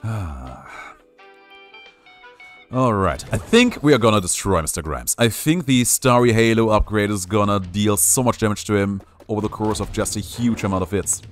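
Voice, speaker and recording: Young male streamer, lowish voice, jokey play-by-play over faint game music. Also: lively intonation, normal speed. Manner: playful, jokey, reads chat aloud. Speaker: gaming streamer. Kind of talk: live gameplay commentary.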